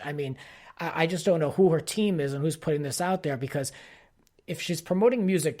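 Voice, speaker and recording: A man's voice: on the high side, darkish tone. Man ranting casually about pop culture. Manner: exasperated, opinionated fan, heavy use of "like". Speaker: podcast host.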